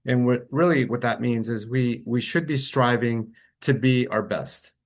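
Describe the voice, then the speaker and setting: A middle-aged man from Colombia, an orthodontist, giving a relaxed, conversational webinar lecture over a computer microphone on a video call, with frequent fillers and restarts. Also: medium pitch, measured pace.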